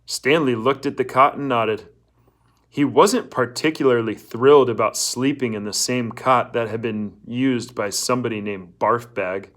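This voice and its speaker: A man's voice: mid-range voice, fairly bright tone. A man reading a novel aloud as a scripted story narrator.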